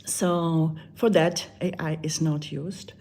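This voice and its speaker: A woman with a Czech accent, a meditation channel creator chatting softly and hesitantly on a live stream, with many fillers. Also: low-pitched, level tone, slow pace, a little hiss or hum. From Czech Republic.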